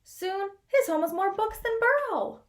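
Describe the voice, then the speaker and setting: A woman reading a picture book aloud as a storyteller, expressive, with dramatic pauses and drawn-out key words. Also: extremely high pitch. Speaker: children's read-aloud storyteller.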